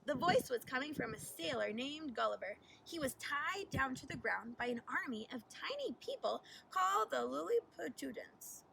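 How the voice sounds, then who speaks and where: A woman reading a children's story aloud like a teacher, deliberate and animated, recorded at a distance with faint noise. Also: high voice, vocal fry. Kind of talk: expressive children's storybook narration.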